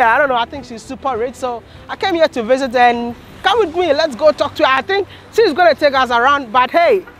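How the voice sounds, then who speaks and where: Excitable young man, high lively voice. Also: slight background noise. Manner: excitable, joking, chatty. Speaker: travel vlogger host. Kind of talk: casual vlog talk to camera. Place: outdoors on a hillside.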